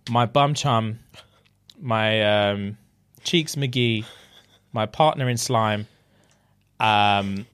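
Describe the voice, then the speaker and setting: A man's voice: on the low side, very slow, pauses often, a bit bright, clean tone. A man with a London British accent, co-hosting a podcast in casual, joking banter with plenty of slang and swearing. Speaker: podcast co-host.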